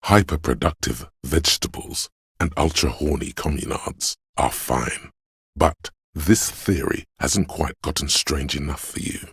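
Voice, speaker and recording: A man voicing a snobbish inner voice of artistic taste in a video game, gravelly and husky, with a pompous, deliberate, theatrical delivery. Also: rumbling low, fairly bright tone, no echo, clean studio sound, heavily acted. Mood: haughty, intrigued. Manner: pompous, deliberate, disdainful, florid. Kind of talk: scripted game narration, theatrical.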